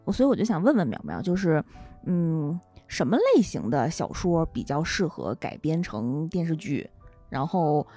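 A woman with a husky voice hosting a casual podcast, summing up and putting questions, with music behind her. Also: low voice, big pitch swings, moderate pace, mellow. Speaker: podcast host. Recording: music under the voice. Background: background music.

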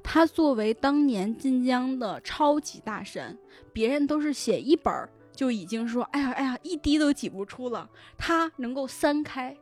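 Female podcast guest, higher-pitched voice, chatty and explanatory, casual conversation over background music. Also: animated, normal speed, darkish tone. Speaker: guest, web-fiction editor. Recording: music behind the voice.

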